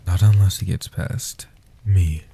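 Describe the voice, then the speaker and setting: Casting: male actor as ancient guardian vampire for comfort audio. Very low, dark, soft-spoken, patient and protective, with hushed pauses. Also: steady tone, creaky, a little hiss or hum. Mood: gentle, reassuring. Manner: slow, hushed delivery with long pauses.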